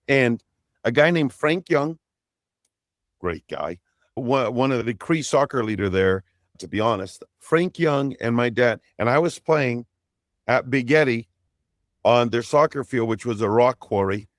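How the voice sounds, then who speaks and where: A middle-aged man whose first language is Portuguese talks soccer in a casual, rambling way, wandering into stories and asides. His voice sits at a middle pitch and is recorded dry and close. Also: dry recording. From Brazil. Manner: rambling, animated storyteller with frequent asides. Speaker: podcast co-host. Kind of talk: casual chat, storytelling.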